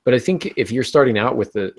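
A man's voice: medium pitch, expressive, fast. A middle-aged man hosting a casual podcast discussion about writing over a video call. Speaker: podcast host. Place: small home room, indoors. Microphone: earbud headset on a video call.

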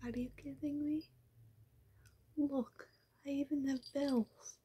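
A woman's voice: high voice, level tone, takes it slowly, a little hiss or hum. A young woman in an ASMR roleplay, whispering as a scheming female vampire in silly disguises who pleads in broken, formal English. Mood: wheedling. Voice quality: soft whisper. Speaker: ASMR creator. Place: small bedroom with pink backdrop. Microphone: close webcam framing.

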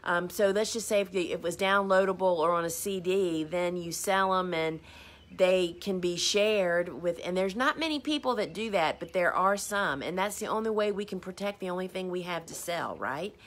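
A woman's voice: on the low side, moderate pace, a bit bright. Chatty woman in her fifties. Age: 50s. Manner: chatty, rambling, self-deprecating. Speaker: host. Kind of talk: casual live stream answering viewer questions.

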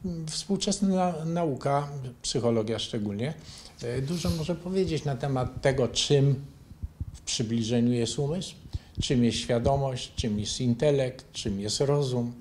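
Older man explaining things in measured speech. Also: medium pitch, a little mellow, a little hiss or hum. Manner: measured, didactic. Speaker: interview guest, bioenergy therapy teacher and author. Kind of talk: explanatory interview answers. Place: furnished living room, indoors.

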